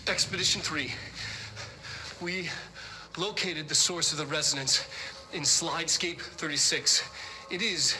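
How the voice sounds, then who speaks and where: An actor playing an earnest, distracted research scientist in a video game, dictating a memo in a halting, stammering way. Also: male voice, fairly high pitch, bright, forceful tone, poor audio. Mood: intent, awed.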